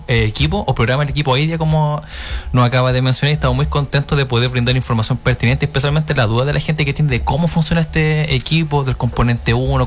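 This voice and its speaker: A man, a psychologist, speaking as a radio guest in a hesitant way with many fillers. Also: mid-range voice.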